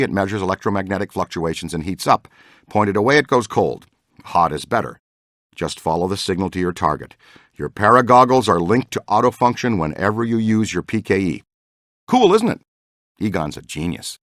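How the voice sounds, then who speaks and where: Excitable, bright-voiced ghost hunter guy. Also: low voice, no room sound, studio quality, heavily acted. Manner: enthusiastic, slangy, folksy team-leader talk. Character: eager, excitable middle-aged male ghost hunter mentoring a rookie. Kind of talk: video game voice acting.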